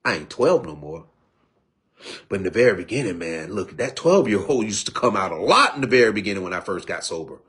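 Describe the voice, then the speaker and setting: A man's voice: lowish voice, very animated, fairly quick, fairly bright tone. A man in his fifties giving a streetwise personal testimony, preachy in tone and full of restarts and repeated words. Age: 50s. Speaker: recovery speaker.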